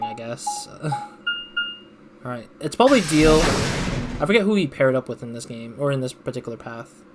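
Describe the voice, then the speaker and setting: A man gives casual game commentary in a mid-pitched voice. He rambles and thinks aloud as he plays, with faint noise in the background. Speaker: let's play host.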